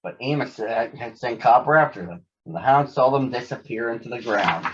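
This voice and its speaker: Guy reading a kids story, normal mid pitch, bit dull lo-fi sound. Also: expressive, moderate pace, a little hiss or hum. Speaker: storybook reader. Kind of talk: scripted narration of a children's story.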